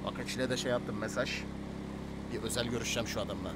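A man talks quickly in casual gaming banter, with a teasing, bossy streak. Clear noise and game engine sounds sit behind his voice. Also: medium pitch, steady tone. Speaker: streamer playing a gang boss in a roleplay game. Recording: clearly noisy game audio with vehicle sounds.

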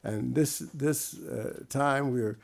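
An older man introducing a guest speaker in a halting, formal way, full of pauses, at a desk microphone in a lecture room. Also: mid-range voice, creaky, darkish tone.

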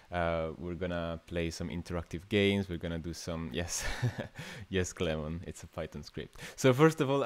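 A man in his twenties with an Italian accent, hosting a casual livestream workshop in an informal, hesitant way, often thinking aloud. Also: low voice. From Italy. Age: 20s. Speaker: workshop host, new media artist. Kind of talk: casual livestream masterclass, chatting with viewers. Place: small indoor room.

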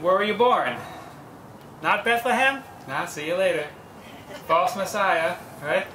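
A man in his thirties, a priest, preaching a lively homily outdoors under a tent on a lapel mic, acting out the people he quotes. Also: sits high. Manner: animated, gesturing, acts out quoted voices. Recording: echoey with faint ambient noise.

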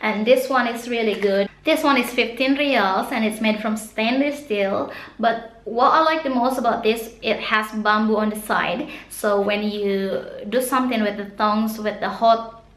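A woman's voice: on the high side, measured pace, a bit bright, reverberant. A woman in her thirties from Indonesia with an Indonesian accent, Sundanese her first language, chatting through a casual shopping haul and gushing over products. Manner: chatty, laughs often, gushes over products. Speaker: vlogger. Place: small room indoors.

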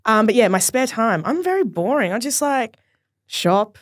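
A woman's voice: a little high, sing-song, moderate pace, no room sound, studio quality. A young woman with an Australian accent, a podcast guest chatting casually, laughing often and swearing freely. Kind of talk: casual chat. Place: small podcast studio. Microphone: studio mic on boom arm.

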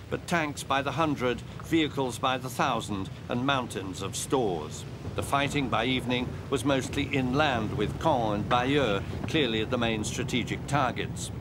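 A man with a British accent narrating a scripted news report in measured, literary phrasing. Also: mid-range voice. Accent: British English. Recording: voice-over with clear background battle noise.

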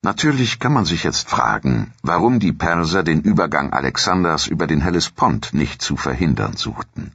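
A man delivers scripted history narration in a measured, formal way. His voice sits extremely low, with a heavy gravelly creak and a husky grain. The recording is somewhat dull and lo-fi. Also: big pitch swings, a bit bright, dry recording. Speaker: documentary narrator.

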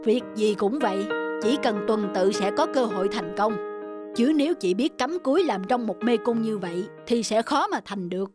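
Woman as a calm, wise older owl. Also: mid-range voice, lightly acted. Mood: calm, instructive. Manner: calm, measured, instructive. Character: wise, kindly motherly owl, older, patient. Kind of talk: cartoon dubbing. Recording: loud music under the voice.

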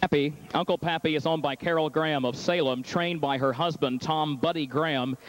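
A man's voice: on the high side, slight background noise, low-quality recording. A man working as track announcer, reading the entries and calling a harness race at a fair track. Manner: rapid, rising excitement in the stretch call. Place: racetrack announcer's booth at a fair.

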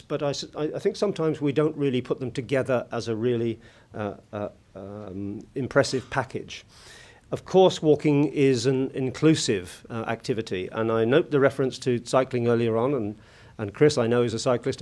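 A British man with a husky voice giving a formal conference talk from a lectern, measured and full of hesitant fillers. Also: medium pitch, darkish tone. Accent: British English. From United Kingdom. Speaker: conference keynote speaker. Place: large conference hall at a lectern. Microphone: lectern microphone.

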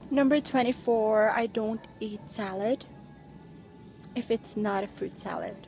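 A woman's voice: a little high, measured pace, creaky, a little mellow, soft background music. A young woman, filming herself outdoors on a phone held at arm's length and chatting playfully through a list of facts about herself, with teasing asides and giggles. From Philippines. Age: young adult. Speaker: vlogger. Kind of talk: casual chat listing facts about herself. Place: outdoors among trees. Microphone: phone at arm's length with earphones.

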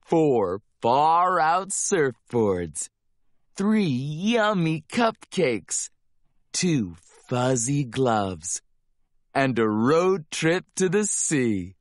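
A male narrator reads a children's rhyming picture book at a very slow pace. His delivery is playful and drawn out, with lively swings in pitch. The recording is close and dry. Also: no room sound. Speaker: storybook narrator.

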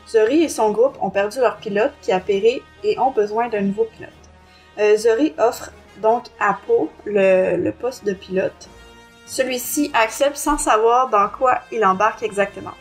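A woman with a Quebec French accent co-hosting a podcast, reviewing a book in a somewhat hesitant, self-correcting way. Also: on the high side. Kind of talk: book review, plot summary and discussion.

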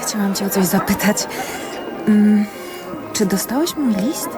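Actress as nervous wife in a radio play, hesitant n slow, clear music underneath. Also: female voice, mid-range voice, expressive, natural voice. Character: timid, anxious wife questioning her husband. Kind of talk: radio drama dialogue.

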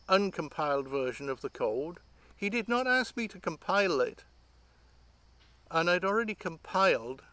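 Higher-pitched middle-aged man, thinking aloud. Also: a bit bright. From Great Britain. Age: 50s. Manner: rambling, halting, thinking aloud. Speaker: hobbyist vlogger. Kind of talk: casual unscripted talk to camera. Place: outdoors among trees.

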